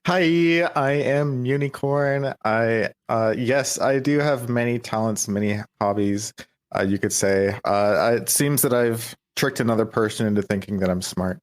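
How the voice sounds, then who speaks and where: A man's voice: medium pitch, unhurried. A young man as a podcast guest, chatting casually and self-deprecatingly, with many fillers and easy laughs. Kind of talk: casual interview chat.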